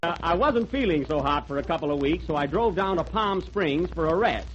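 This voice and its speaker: A man's voice: on the high side, expressive, fairly quick. A man hosting a live radio comedy show, trading jokes with wry, put-upon timing. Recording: lo-fi 1930s radio broadcast with live audience. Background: studio audience laughter, hiss.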